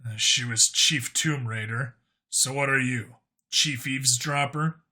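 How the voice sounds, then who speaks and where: Man playing a sarcastic human cop, sharp-edged. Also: mid-range voice, unhurried. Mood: teasing. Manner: sarcastic, grumbling, casual. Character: irreverent, sarcastic human male cop abducted by aliens.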